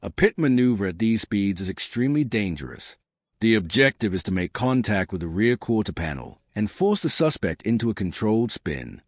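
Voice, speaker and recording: Deep, dramatic male true-crime narrator. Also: sing-song, moderate pace, no echo, studio quality.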